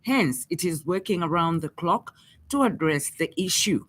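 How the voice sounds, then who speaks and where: A Zambian woman presents a radio news bulletin in a fairly low voice. She reads from a script in a formal, measured way, with a Zambian accent. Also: expressive.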